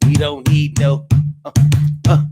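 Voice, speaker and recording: A man's voice: fairly high pitch, monotone, unhurried, loud music behind the voice. A man hosting a podcast, riffing loosely in a playful, rambling way with heavy slang and repetition. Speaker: podcast host. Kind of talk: casual late-night podcast sign-off.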